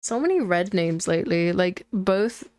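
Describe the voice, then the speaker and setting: A woman's voice: on the low side, lively intonation, moderate pace, a little mellow, no room sound. A young woman with a posh British accent tinged with American, chatting casually and laughing often while streaming a game. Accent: posh British with slight American twinge. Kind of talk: casual chat while gaming.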